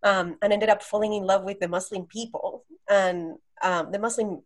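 A woman's voice: medium pitch, steady tone, normal speed. Earnest woman from Colombia telling her story. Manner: reflective, earnest, with many ums. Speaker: podcast guest. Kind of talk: casual interview storytelling.

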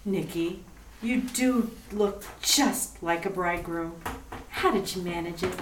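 A woman's voice: fairly low pitch, slow pace, reverberant, recorded from a distance. Affectionate, playful wife played by a woman, radio mystery style, off-mic with some room noise. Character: poised, affectionate, teasing sleuth's wife. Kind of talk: retro radio mystery-comedy acting.

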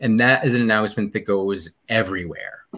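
A man's voice: on the low side, fairly quick, a bit bright. Man rambling through a travel story. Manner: rambling, many ums and restarts. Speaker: podcast guest. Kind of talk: casual travel chat.

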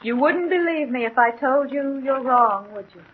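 A woman playing an enigmatic young woman with a soft, honeyed voice in 1940s radio drama style. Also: high-pitched, lively intonation, normal speed. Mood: calm, regretful. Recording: dull, hissy 1940s radio recording.

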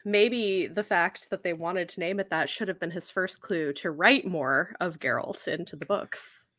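A woman's voice: fairly low pitch, unhurried, a bit bright, no room sound. Woman podcaster with a dry, close sound. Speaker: podcast co-host. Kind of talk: casual book discussion chat.

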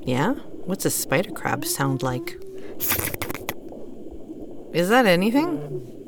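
Low-voiced nurturing mother. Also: big pitch swings, takes it slowly, background noise. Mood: upbeat coaxing. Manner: nurturing, coaxing, instructive. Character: caring, gently prodding mother of a capricious girl. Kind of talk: naturalistic audio drama acting.

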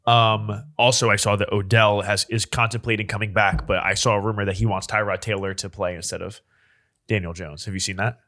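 Man, podcast co-host, sniffly with a cold, jokey, bright voice. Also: on the low side, fairly even intonation, fairly quick. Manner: jokey, easily grossed out. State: sniffly, slightly stuffed up. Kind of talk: casual chat.

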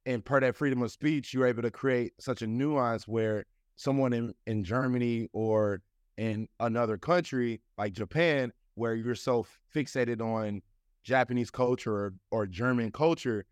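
A man's voice: medium pitch, unhurried, no room sound. A man in his thirties from the United States talks as an interview guest in a mid-range voice. He rambles and circles back, full of "you know" and "like." The sound is close and dry, with no room around him. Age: 30s. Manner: loose, rambling, heavy with fillers like "you know" and "like". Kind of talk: casual conversational interview.

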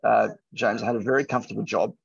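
A man's voice: lowish voice, fairly even intonation, fast, gravelly, slightly rough. A middle-aged man speaking as an entrepreneur on a panel, telling anecdotes in an emphatic, conversational way. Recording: lo-fi, muffled audio.

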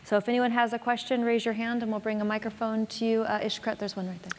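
A woman's voice: fairly high pitch, little pitch movement, fast talker, darkish tone, a little hiss or hum. Woman moderator announcing in a hall. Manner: brief affirming backchannels and crisp logistical announcements. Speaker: moderator and event host. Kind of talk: moderating a live audience Q&A. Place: event hall with a live audience. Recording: hall reverb, audience murmur, heard from a distance.

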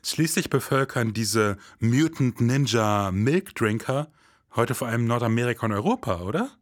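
An actor playing a laid-back, idealistic detective in a scripted noir audio drama, with casual, colloquial speech. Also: male voice, medium pitch, expressive, normal speed, no echo, clean studio sound, natural voice. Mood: casual, questioning.

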